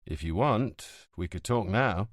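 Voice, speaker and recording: A man's voice: on the low side, lively intonation, unhurried, no echo, studio quality. A man voicing a tense, evasive police inspector in an audiobook, speaking curtly.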